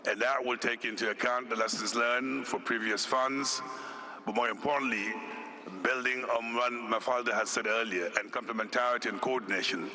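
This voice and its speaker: A man from Senegal, an executive director, giving a formal conference speech in a deliberate, measured way, listing his points one by one. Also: mid-range voice, fairly even intonation, noisy background. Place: conference hall.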